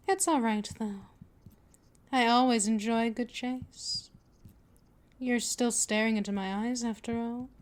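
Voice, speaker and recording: A woman playing a patient, possessive vampire mistress in an ASMR roleplay, with a deliberate, teasing, coaxing delivery. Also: on the high side, a little mellow. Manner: slow, deliberate, coaxing, with drawn-out pet names.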